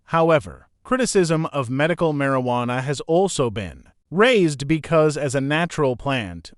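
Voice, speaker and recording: A man's voice: a little high, no echo, clean studio sound. A man reading an academic passage aloud from a script, formal and matter-of-fact. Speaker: model reader for English test practice.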